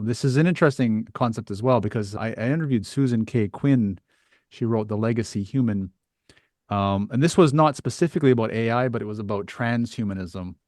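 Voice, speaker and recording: A man with a lowish voice hosts a conversational interview. He phrases things tentatively and thoughtfully, feeling his way through each point. The sound is dry, with very little room to it. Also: a little mellow, no echo. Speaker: podcast host.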